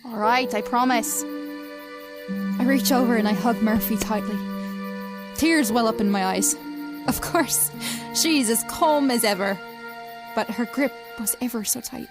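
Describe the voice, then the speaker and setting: A woman's voice: a little high, unhurried, long pauses, music in the background, natural voice. A woman playing a sentimental, teasing young best friend in an audio drama. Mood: tender, reassuring. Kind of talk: audio drama acting with first-person narration.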